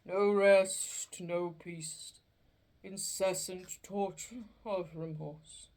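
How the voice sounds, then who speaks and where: A woman voicing a doomed, chained male ghost, speaking slowly and solemnly in a storybook reading. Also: steady tone, darkish tone, rough recording. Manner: slow, booming and drawn out.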